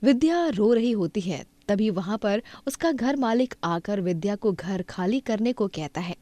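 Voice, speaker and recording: A woman's voice: mid-range voice, lively intonation, measured pace, dark tone, dry recording. Female storyteller for cartoon moral tales, mid-range voice, scripted narration, dry studio sound.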